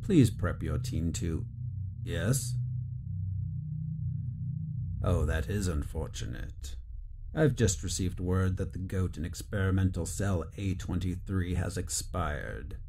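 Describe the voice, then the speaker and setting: A man's voice: low voice, takes it slowly, pauses often, warm tone, exaggerated voice. A man playing a cold, officious corporate commander with a clipped, formal, imperious manner in an audio drama. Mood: formal, detached. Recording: clear ambient noise behind.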